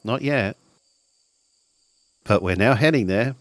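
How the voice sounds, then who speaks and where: A man's voice: on the low side, takes it slowly, no room sound. A man playing a cheeky slacker schoolboy who casually teases his friends in an improvised tabletop game. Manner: teasing, casual.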